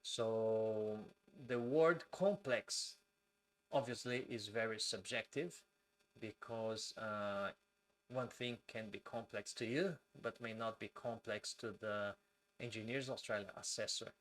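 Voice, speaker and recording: A man from Brazil with a Brazilian accent, hosting a live stream, talking slowly with frequent fillers and greeting viewers from their comments. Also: fairly low pitch, fairly bright tone. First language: Portuguese. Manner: speaks slowly and deliberately with many fillers.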